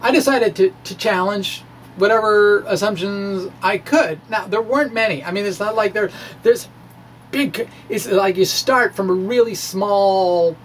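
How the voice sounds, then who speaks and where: Science vlogger, male, middle-aged. Informal, theatrical, halting delivery; some background room noise. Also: sits high. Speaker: science video blogger. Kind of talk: informal dramatic reading of a physics blog to camera. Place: small indoor room with bookshelves.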